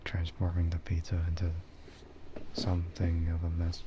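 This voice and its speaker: A man chatting casually and soft-spoken with his livestream viewers, rambling in a relaxed way. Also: low-pitched, slow, dull audio, noisy background. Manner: relaxed, soft-spoken ASMR yapping, often chuckling. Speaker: streamer, VTuber host.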